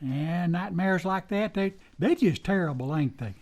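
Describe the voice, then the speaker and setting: A man's voice: sits high, lively intonation, unhurried, a little mellow. A man playing an anxious, loyal deputy in an old-time western radio drama, with a folksy American drawl and a stammering, deferential manner. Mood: deferential, chatty.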